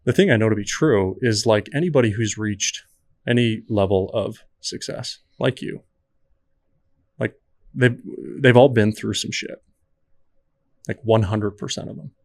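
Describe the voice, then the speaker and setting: A man hosting a podcast who asks probing questions in a measured, conversational way. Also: on the low side, no echo. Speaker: podcast host. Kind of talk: interview questions. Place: podcast studio.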